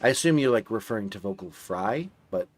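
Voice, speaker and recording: A man's voice: on the low side, animated, a little fast, no echo. A young man with a slightly nasal voice streaming live, chatting casually and rambling with lots of filler words and restarts. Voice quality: a bit nasal.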